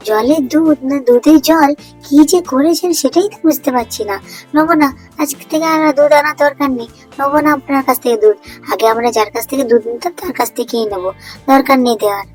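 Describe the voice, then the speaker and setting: A woman's voice: sits high, a little mellow, close to an everyday voice, rough recording. A woman playing an indignant, fussy village milk customer in a fairy-tale cartoon, complaining.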